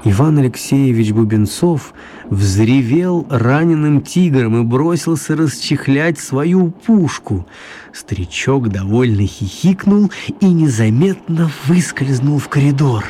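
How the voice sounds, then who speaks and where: A man narrating a children's radio story in an expressive, mock-grand dramatic style. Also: medium pitch, slow pace. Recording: clear background noise and ambience.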